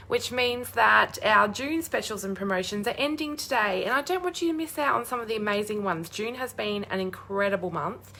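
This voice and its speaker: Croaky, chatty woman streaming live. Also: a little high, pushed and bright. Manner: bubbly, chatty, greets viewers by name. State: hoarse, losing her voice. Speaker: crafting demonstrator hosting a live stream.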